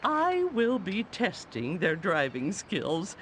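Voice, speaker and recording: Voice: medium pitch, wildly swooping pitch, slow, fairly bright tone, slight background noise, full character voice. A performer voicing a prim, anxious middle-aged woman who teaches driving in a cartoon video game, proper and fretful. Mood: polite, earnest.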